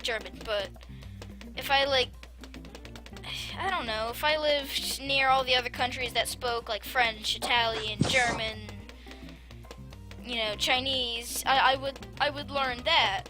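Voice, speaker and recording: High voice rambling over a game, lots of restarts, music playing behind. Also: steady tone, unhurried, pushed and bright. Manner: rambling, hesitant, many restarts. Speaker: gameplay commentator. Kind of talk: casual let's-play chat.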